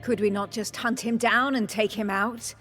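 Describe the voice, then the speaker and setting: A woman's voice: a little high, fairly even intonation, normal speed, fairly bright tone, soft background music, close to an everyday voice. An actress playing a pragmatic female councillor in a fantasy game, reasoning in formal phrases. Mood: questioning. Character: pragmatic female council member proposing plans. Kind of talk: scripted fantasy game cutscene acting.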